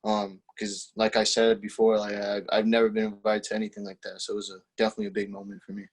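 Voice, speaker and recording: A teenage man whose first language is Spanish talks casually as an interview guest. His voice sits fairly low, and his answers come out relaxed and halting, with lots of "um" and restarts. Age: teens. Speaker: guest, young footballer.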